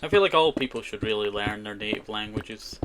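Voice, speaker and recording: A man's voice: lowish voice, fairly quick, background noise. A man streaming himself playing a survival game, chatting casually with viewers in a rambling way full of "you know" and "I tell you". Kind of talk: casual live-stream chat while playing, answering chat. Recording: keyboard clicks and typing behind the voice.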